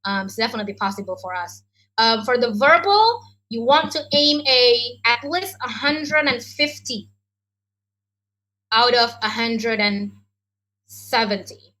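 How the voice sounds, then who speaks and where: A woman's voice: fairly high pitch, expressive, unhurried, bright, forceful tone, rough recording. An Indonesian woman, speaking English as a second language, answers questions as an education adviser in a chatty way full of ums and likes. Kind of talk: online Q&A session.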